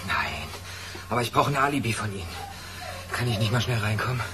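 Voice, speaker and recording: A man's voice: on the low side, big pitch swings, brisk, background noise. An actor playing an anxious young male petty thief in a radio crime drama, pleading and evasive. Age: young adult.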